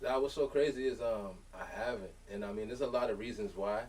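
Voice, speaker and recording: A man from Lowell, Massachusetts, a podcast guest talking in casual slang and often checking in with the listener. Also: a little fast, a little mellow, distant mic. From born in Liberia, raised in Lowell, Massachusetts. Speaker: podcast guest, music artist. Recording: off-mic with faint room noise.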